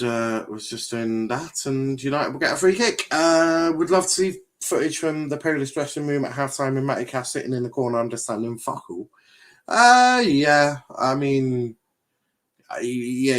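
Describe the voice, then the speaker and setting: A young English man streaming a match watchalong, chatting casually, rambling and swearing as he reacts to the play. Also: mid-range voice. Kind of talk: casual live match commentary.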